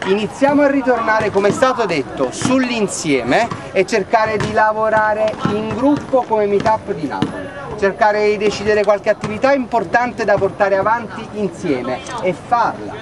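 A man's voice: sits high, expressive, normal speed, few pauses. A man in his thirties speaking earnestly to a crowd at an outdoor night meeting in a city square, often asking rhetorical questions, recorded by a camera at a distance. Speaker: activist at a public meeting. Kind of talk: impassioned speech to a crowd. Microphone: far camera.

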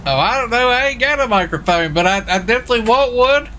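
A man's voice: extremely high pitch, expressive, fast, sharp tone. A man rambling in a joking, folksy way during casual in-character gaming banter. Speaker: role-play gamer playing a US marshal. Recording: game voice chat with clear vehicle noise.

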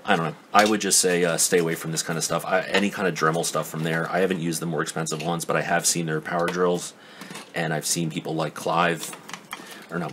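A man with a remarkably deep, low voice talks through a tool review at his workbench. He rambles in a loose, skeptical way, trailing off and restarting with plenty of ums. A faint hum sits behind him. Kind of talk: casual hands-on product review. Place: indoor workbench.

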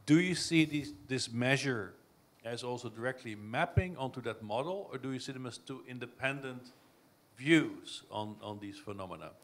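A man's voice: medium pitch, normal speed. A man in a lecture hall asking the speaker a long, probing academic question. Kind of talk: academic discussion.

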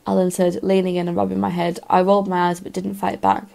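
A woman's voice: fairly low pitch, little pitch movement, fast talker, gravelly, dark tone. A woman narrating a scripted first-person short story.

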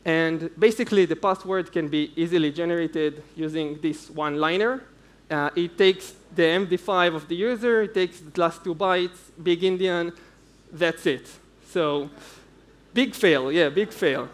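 Young man, high voice, technical conference talk, informal, step-by-step explanation. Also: a little slow. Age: young adult. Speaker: conference speaker, security researcher. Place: indoor stage at a conference.

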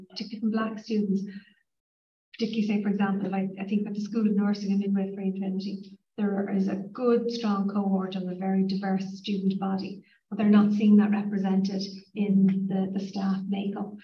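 Dublin woman panelist, level even delivery, heard from across an echoey conference room. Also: medium pitch, a little fast. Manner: earnest, many "you know" fillers. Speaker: university panelist. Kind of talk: panel discussion. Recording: echoey room mic from far off, dull sound.

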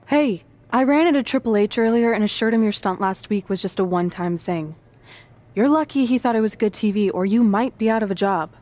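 A woman's voice: mid-range voice, lively intonation, fairly quick, darkish tone, close to an everyday voice. An actress playing a sensible, guarded woman wrestler in a video game cutscene, dryly skeptical. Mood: dry, cautious. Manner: level-headed, dryly skeptical.

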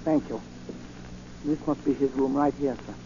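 An actor playing a proper, deferential, anxious butler in a 1940s radio drama. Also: male voice, medium pitch, steady tone, moderate pace, warm tone, lightly acted. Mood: earnest. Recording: noisy, muffled 1940 radio recording.

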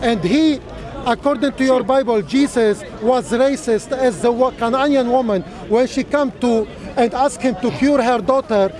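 A man makes his point in a measured way during an outdoor debate, his voice very high. A clear crowd surrounds him in the park. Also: a little mellow. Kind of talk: street debate.

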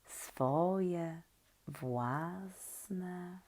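A woman reading a children's story expressively and talking gently and directly to young listeners. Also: on the low side, extremely slow.

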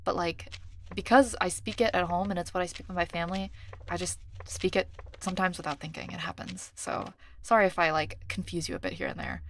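A woman's voice: on the low side, expressive, a little fast, slight background noise. A woman streamer, a native Korean speaker, chatting casually over a game and muttering numbers and checks to herself. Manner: chatty, thinks aloud, reads numbers under her breath. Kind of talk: casual gameplay commentary.

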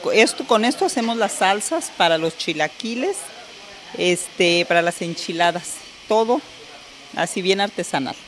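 A woman's voice: on the low side, lively intonation, normal speed, a little hiss or hum, poor audio. A middle-aged woman restaurant owner giving a proud tour, explaining dishes into a handheld mic. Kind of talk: interview and guided tour. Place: restaurant, partly outdoors. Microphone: handheld microphone.

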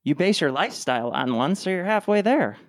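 A woman's voice: fairly low pitch, expressive, fairly quick, a little hiss or hum, close to an everyday voice. A woman playing a teasing, quibbling sitcom character with dry banter in a comedic radio play.

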